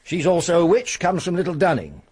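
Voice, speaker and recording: An actor playing a mild, tolerant English country vicar in a radio drama, gentle and matter-of-fact. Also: male voice, on the high side, sing-song, fairly quick, slightly rough. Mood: mild, benign.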